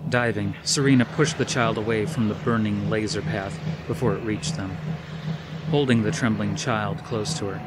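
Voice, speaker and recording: A man's voice: fairly low pitch, noisy background. A man reading a dramatic story as scripted audiobook narration.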